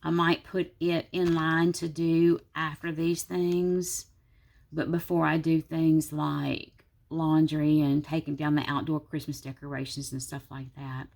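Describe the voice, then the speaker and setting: Brief: sick woman vlogging from her home desk, low gravelly voice, congested and worn out. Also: flat delivery, unhurried, a little mellow. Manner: tired, low-energy, rambling. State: sick, congested, sore throat. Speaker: planner vlogger. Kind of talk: casual vlog talk to viewers.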